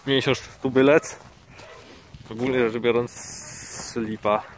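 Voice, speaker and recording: A man's voice: mid-range voice, fairly even intonation, slow pace. A chatty man narrates his fishing trip into a head-mounted action camera on a grey riverbank. His voice sits in a middle register, with faint outdoor noise in the background. He jokes around and often restarts his sentences. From Poland. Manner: chatty, joking, hesitant restarts. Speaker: fishing vlogger. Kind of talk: casual vlog commentary. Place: outdoors by a river on an overcast day.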